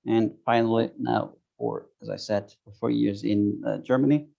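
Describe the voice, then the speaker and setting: Gravelly Turkish man on a video call. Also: fairly low pitch, steady tone, mellow. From Turkey. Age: 30s. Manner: halting, searching for words. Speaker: guest, software tester. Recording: dull lo-fi video call audio.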